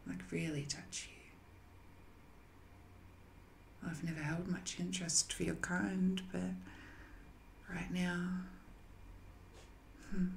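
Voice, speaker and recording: A woman acts out an ancient, banished villainess in an intimate roleplay drama. Her voice is lowish and very unhurried, breathy and coy, with a lonely, seductive slyness. There is some noise behind her. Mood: wistful, curious. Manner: slow, breathy, coy, often dropping to a whisper.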